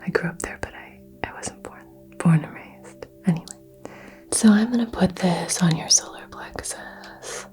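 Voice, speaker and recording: A woman from the American South whispering slowly and soothingly through a crystal healing roleplay. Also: on the low side. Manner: soft, slow, soothing whisper. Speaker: ASMR creator doing a crystal healing.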